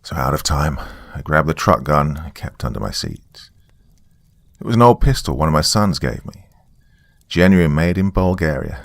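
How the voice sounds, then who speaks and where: A man with a very deep voice narrates a dramatic first-person tale. His delivery is deliberate and folksy, and the recording is dry and close. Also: no room sound.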